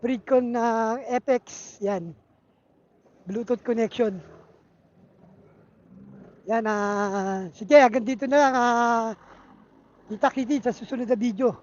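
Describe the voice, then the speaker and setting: A Filipino motovlogger talking casually and haltingly while riding a motorcycle, speaking through a helmet intercom. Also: mid-range voice. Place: on a motorcycle outdoors in traffic. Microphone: Bluetooth helmet intercom microphone. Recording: muffled helmet intercom with loud road noise. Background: vehicle and road noise.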